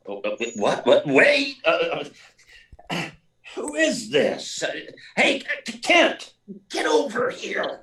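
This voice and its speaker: An actor playing a blustery, fatherly older newspaper editor in a 1940s-style radio serial, gruff and sputtering with hesitations. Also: high-pitched, huge pitch swings, slow pace, pushed and bright, heavily acted. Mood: irritated, alarmed. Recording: distant mic, live remote performance.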